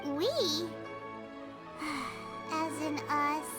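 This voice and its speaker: A woman voicing a tiny childlike game companion with a squeaky voice, chatty, blunt and easily spooked. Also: lively intonation, extremely slow, exaggerated voice. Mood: indignant. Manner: blunt, exclamatory, talks of self in third person. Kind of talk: English game voice acting. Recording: game music playing behind.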